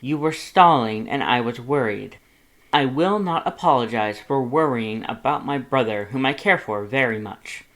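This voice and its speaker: A man's voice: mid-range voice, moderate pace, fairly bright tone, lightly acted. A man voicing a pompous, dramatic male skeleton with a grandiose, formal, over-enunciated way of talking. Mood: defensive, earnest.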